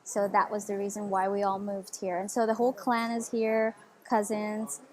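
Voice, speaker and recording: A woman's voice: mid-range voice, monotone, moderate pace. A woman in her twenties from the Philippines, telling her story eagerly in a casual interview, with lots of "like" and "you know". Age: 20s. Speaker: interview guest.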